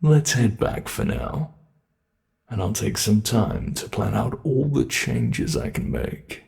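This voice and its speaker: A man playing a sinister, sadistic monster in a horror ASMR roleplay, gloating and musing theatrically with a gravelly creak. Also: fairly low pitch, wildly swooping pitch, darkish tone, heavily acted. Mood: scheming, excited. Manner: slow, menacing, gloating, theatrical musing with drawn-out words. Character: sinister male monster, sadistic flesh-sculpting predator toying with prey.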